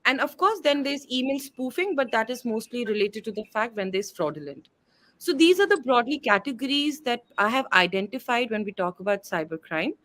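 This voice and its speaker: A woman's voice: fairly high pitch, very animated, fairly quick, a bit bright. A woman moderating an online panel discussion, speaking conversationally with frequent "uh" fillers over a video call.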